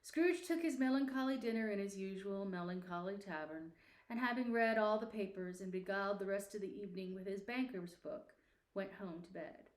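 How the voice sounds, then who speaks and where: Woman reading an old storybook out loud, expressive n theatrical, kinda echoey room, medium pitch. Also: normal speed. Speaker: audiobook narrator. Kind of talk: expressive scripted storybook narration.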